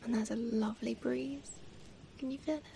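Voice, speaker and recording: A woman performing an ASMR comfort roleplay as an affectionate mother figure, speaking tenderly in a soft, breathy whisper with lingering pauses. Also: a little high, fairly even intonation, a little hiss or hum.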